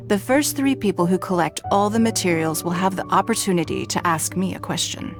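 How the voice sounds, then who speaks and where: A woman playing a poised, wealthy female ruler who speaks in a measured, formal, authoritative way. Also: on the low side, lightly acted. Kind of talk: game voice acting. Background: game music.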